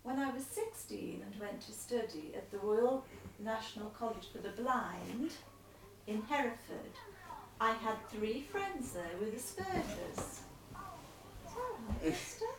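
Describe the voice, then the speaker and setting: A woman's voice: on the high side, expressive, unhurried, distant mic, noisy background. A woman, patiently coaxing and encouraging as she reads a letter aloud at home. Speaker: carer reading a letter aloud. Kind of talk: casual home video, reading aloud. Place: room at home. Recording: echoey room, voice off-mic.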